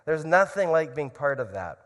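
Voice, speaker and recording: A man speaking as a missionary at a church, telling his story earnestly and with growing excitement. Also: fairly high pitch, normal speed, big-room sound. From grew up in Indonesia. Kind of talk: church missionary talk with prayer.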